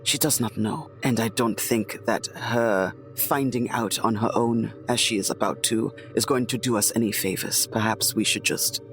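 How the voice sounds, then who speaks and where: A man playing a tabletop roleplaying game, speaking in character in a measured, deliberate way. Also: lowish voice, fairly even intonation, a bit bright, soft background music.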